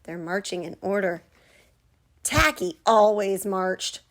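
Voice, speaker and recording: A woman reading a children's storybook aloud like a kindergarten teacher, expressively, pausing to put questions to young listeners. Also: mid-range voice, expressive.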